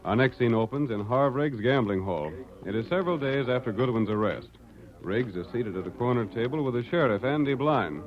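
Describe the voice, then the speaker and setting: An American man narrates a vintage radio drama in a scripted style. His medium-pitched voice moves in lively swings. The recording is dull, with faint music behind. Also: fairly quick.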